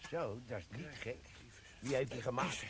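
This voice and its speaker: An actor playing a jovial, self-important British army sergeant in a radio play, chummy and slightly pompous. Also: male voice, medium pitch, expressive, normal speed, crowd noise, close to an everyday voice. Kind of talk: broadcast radio play acting.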